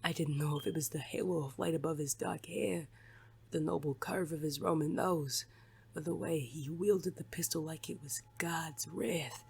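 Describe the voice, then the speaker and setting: An actor playing a gruff, defiant, world-weary detective in a noir audio drama, blunt and sardonic, with a husky rasp. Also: medium pitch, steady tone, normal speed, darkish tone. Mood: brooding narration.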